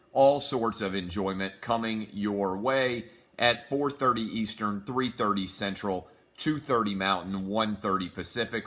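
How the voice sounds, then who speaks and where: A forceful male sports talk host with a slightly low, sharp-edged voice. He is sick and a little hoarse but pushes through a confident, emphatic solo monologue, moving easily from ad reads to opinion. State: sick, losing his voice.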